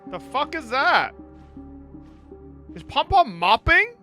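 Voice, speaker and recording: A man's voice: very high-pitched, very animated, takes it slowly, pushed and bright. A man livestreaming a game reaction, joking crudely and swearing as he chats casually with viewers. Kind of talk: casual chat with viewers, profane. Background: game music.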